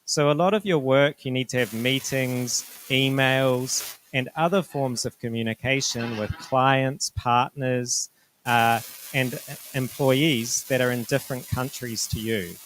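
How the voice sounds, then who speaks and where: A middle-aged man from New Zealand with a New Zealand accent, a university director giving an informational presentation to students in a polite, measured, explanatory way. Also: mid-range voice, fairly bright tone, slight background noise, poor audio.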